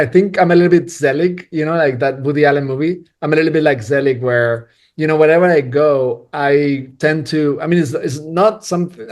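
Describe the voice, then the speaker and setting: Rambling man, restarts and laughs. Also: on the high side. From Spain. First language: Spanish. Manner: rambling, self-deprecating, laughs often, many restarts. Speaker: cinematographer. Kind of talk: casual podcast interview.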